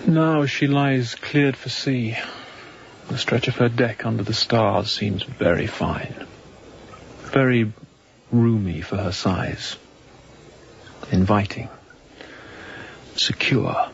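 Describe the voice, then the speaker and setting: Young sea captain, slightly creaky voice. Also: male voice, mid-range voice, takes it slowly, pauses often, dull audio. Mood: brooding, reflective. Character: young, inexperienced sea captain, introspective and kindly. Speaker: actor in audio drama. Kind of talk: radio drama acting with reflective monologue.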